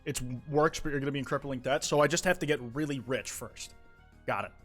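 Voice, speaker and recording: A man's voice: medium pitch, brisk, a bit bright, faint music behind. A young man from the United States chatting casually on a live stream, reading viewer confessions aloud, rambling and swearing with many restarts.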